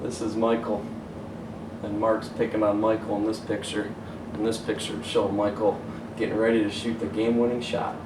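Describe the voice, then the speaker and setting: A man reading a children's picture book aloud as a coach would, pausing to point out the pictures. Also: fairly low pitch, completely flat delivery. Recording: roomy, distant mic, faint hiss.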